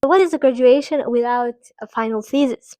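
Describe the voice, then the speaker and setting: A woman's voice: a little high, brisk, dark tone, no room sound, clean studio sound. Chatty young woman vlogging. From Bosnia. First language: Bosnian. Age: 20s. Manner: chatty, self-deprecating, restarts and asides. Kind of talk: casual talking-to-camera vlog.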